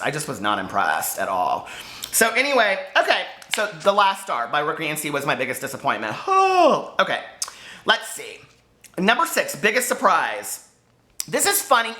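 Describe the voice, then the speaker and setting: Casting: American man, casual vlogger, high-energy swooping intonation, bright forward tone, gushy and digressive. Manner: gushing, confiding, digressive, emphatic. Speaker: book vlogger and author. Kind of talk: casual chatty vlog to camera.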